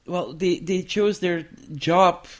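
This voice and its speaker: A man with a Dutch accent, a researcher and author answering interview questions in a thoughtful, halting way with frequent restarts. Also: high-pitched. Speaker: education researcher and book author.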